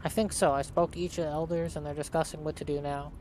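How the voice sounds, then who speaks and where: A man's voice: fairly high pitch, flat delivery, fast, a little mellow. A man narrating a game playthrough and reading out player dialogue in a plain, casual way. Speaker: let's play narrator. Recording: faint game ambience and noise. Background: game ambience.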